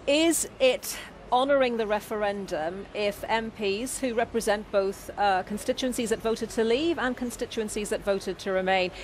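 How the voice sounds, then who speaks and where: A woman's voice: mid-range voice, moderate pace, slight background noise. A woman in her thirties, a news presenter pressing a guest with persistent questions outdoors. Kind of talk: live news interview. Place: outdoors by a busy street.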